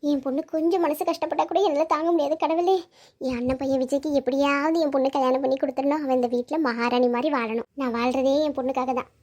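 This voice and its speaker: A woman's voice: sits high, quick pace, mellow, close to an everyday voice. A woman playing a protective, doting middle-aged mother in a family melodrama, coaxing in colloquial speech. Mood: fond, determined. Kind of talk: melodramatic family audio drama acting. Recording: dull lo-fi audio.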